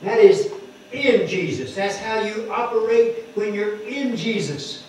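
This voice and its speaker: An older man preaching at a lectern, explaining scripture word by word and stressing key words loudly. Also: high-pitched, unhurried, distant mic, slight background noise. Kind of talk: sermon teaching scripture. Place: wood-paneled church hall, speaking at a lectern. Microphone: microphone on the lectern, heard from a distant camera. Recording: echoey hall heard from afar, dull.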